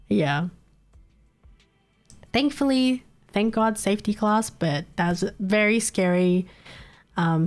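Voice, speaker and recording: A woman streamer chatting casually late at night, a little nasal from a cold, rambling and laughing often. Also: mid-range voice. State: recovering from a cold, slightly nasal.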